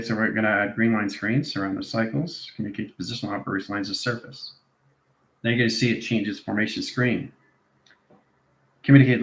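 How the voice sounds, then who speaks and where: Echoey low-voiced man, incantatory instructor. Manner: incantatory strings of technical-sounding phrases. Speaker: instructor, channel presenter. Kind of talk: improvised esoteric instructional monologue. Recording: echoey, reverberant room sound.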